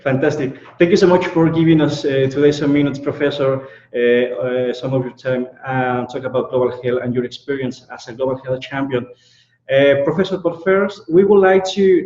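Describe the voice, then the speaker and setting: A man's voice: medium pitch, darkish tone. A man with a Mexican Spanish accent hosting an interview, reading a formal introduction and then asking questions in hesitant English. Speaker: interviewer, host. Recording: roomy, dull lo-fi sound.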